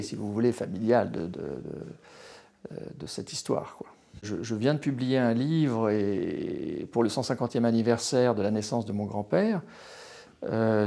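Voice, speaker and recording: A man's voice: medium pitch, dark tone. Hesitant French man in his sixties. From France. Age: 60s. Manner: hesitant, many fillers and restarts. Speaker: retired history professor. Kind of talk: interview, personal account.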